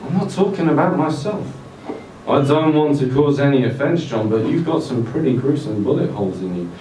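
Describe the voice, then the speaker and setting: A man voicing a calm, wry dead pop star with a measured South London baritone, in a live story reading. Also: natural voice. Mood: matter-of-fact. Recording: roomy distant live-event recording, noisy.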